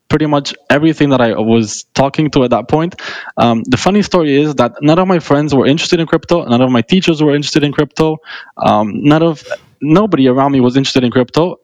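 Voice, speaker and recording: A man's voice: mid-range voice, no room sound, low-quality recording. A man in his twenties, originally from Romania, who tells a podcast host how he got into his field, with many ums along the way. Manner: earnest storytelling with filler ums. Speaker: guest, portfolio manager. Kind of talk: casual interview answers.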